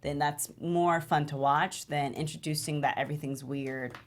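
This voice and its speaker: A woman's voice: low-pitched, steady tone, normal speed. A woman giving feedback in a casual workshop discussion, informal and emphatic, repeating phrases to make her point. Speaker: panelist giving script notes at a table read.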